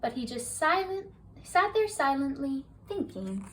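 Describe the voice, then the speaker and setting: High-voiced woman reading bedtime rhymes. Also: big pitch swings, measured pace, a bit bright. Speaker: storybook reader. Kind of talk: bedtime story read-aloud. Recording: distant mic, faint hiss.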